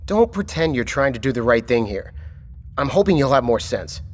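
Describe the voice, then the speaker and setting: A man's voice: mid-range voice, big pitch swings, normal speed, close to an everyday voice. A man acting in an audio drama as a stern, authoritarian government chief, commanding, clipped and condescending. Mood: stern, impatient. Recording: reverberant hall with background noise.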